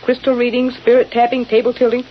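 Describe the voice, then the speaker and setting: A woman playing a breezy, excitable newspaper photographer who talks in wisecracking slang, old-time radio style. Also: fairly high pitch, quick pace, close to an everyday voice. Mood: excited, breezy. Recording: dull, hissy old radio recording.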